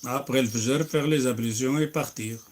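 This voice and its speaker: A man's voice: medium pitch, moderate pace. A man preaching and answering questions, emphatic, breaking into recited Arabic verses. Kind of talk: religious Q&A.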